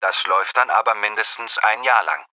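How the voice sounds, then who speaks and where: A man's voice: on the low side, brisk, bright, forceful tone, no echo. A man playing a young, matter-of-fact student tutor in a scripted language-lesson dialogue. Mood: businesslike. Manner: businesslike, obliging.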